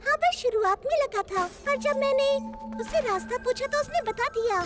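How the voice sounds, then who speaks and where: An extremely high, squeaky little girl creature from a cartoon speaks in a sweet, childlike way. She sounds timid and trusting, and loud music plays behind her. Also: animated, normal speed. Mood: sweet, wondering. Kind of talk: dubbed children's cartoon acting. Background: prominent music.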